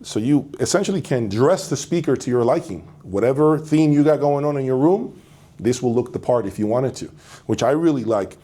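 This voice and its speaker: Mellow-voiced man presenting audio gear. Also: medium pitch, expressive, fairly quick. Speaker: host of an audio review channel. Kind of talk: casual product presentation to camera.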